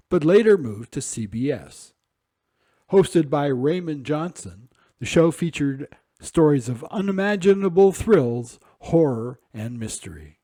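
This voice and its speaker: Male presenter for scripted introductions: mellow, lightly pitched, recorded dry. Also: dry recording. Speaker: announcer. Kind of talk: scripted narration. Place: dry, close studio-like recording.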